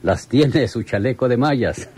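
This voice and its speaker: A man's voice: medium pitch, expressive, a little slow, darkish tone, husky. A man playing a deferential, cheerful police lieutenant in a radio soap. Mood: reassuring. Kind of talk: Mexican radio soap acting.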